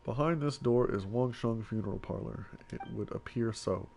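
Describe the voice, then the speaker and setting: A man streaming a game, reading dialogue aloud and adding casual, deadpan remarks. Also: medium pitch, normal speed, gravelly, slight background noise.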